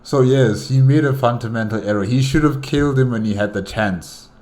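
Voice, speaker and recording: A man giving casual, unscripted reaction commentary as host, speaking haltingly and often repeating himself. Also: medium pitch, a little mellow.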